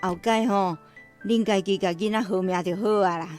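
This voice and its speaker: An actress playing a traditional old grandmother in a Taiwanese Hokkien radio drama, with emphatic, drawn-out delivery. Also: medium pitch, steady tone, a little mellow, lightly acted. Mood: resigned. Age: older. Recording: music behind.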